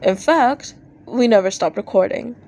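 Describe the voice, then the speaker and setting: A woman reading fan fiction aloud as an expressive amateur audiobook narrator, acting out posts in an animated way. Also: mid-range voice, normal speed.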